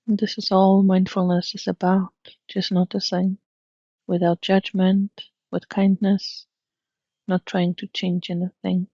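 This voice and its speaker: A woman guides a mindfulness meditation, speaking very slowly and leaving long pauses between phrases. Her pitch stays flat and even as she gently instructs. The recording is dry and slightly dull. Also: no echo.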